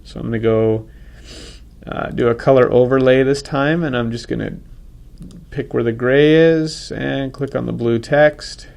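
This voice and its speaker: A man with a head cold, talking casually through a software tutorial and correcting himself as he goes. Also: medium pitch, fairly even intonation, a little slow, creaky. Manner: casual, thinks aloud, self-correcting. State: congested from a cold. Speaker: software instructor. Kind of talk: screen-recorded software tutorial.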